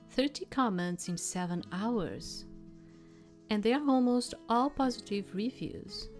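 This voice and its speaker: A woman game streamer narrates a visual novel in a fairly low voice. She reads story lines and drops in casual asides, with soft music behind her.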